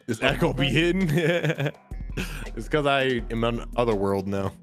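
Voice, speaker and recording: A man's voice: mid-range voice, expressive, unhurried, faint music behind. A man chatting with friends on voice chat during a game stream, swearing and joking, with an echo effect on his mic. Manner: loud, joking, swears freely. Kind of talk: casual voice chat banter.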